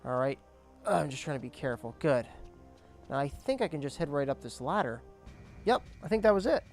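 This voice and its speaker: A young man casually commentating his gameplay, explaining objectives as he goes, his voice cracking and squeaking now and then. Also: animated, fairly quick, soft background music. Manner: chatty, explains objectives as he plays. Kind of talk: casual let's play commentary.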